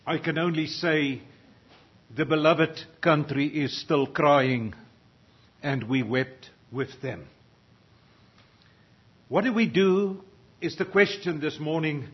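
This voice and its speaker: A man's voice: fairly high pitch, husky. An older man from Africa, preaching a sermon earnestly and reading scripture aloud. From South Africa. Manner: slow, earnest delivery, rising in volume for readings. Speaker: pastor. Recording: dull lo-fi sound.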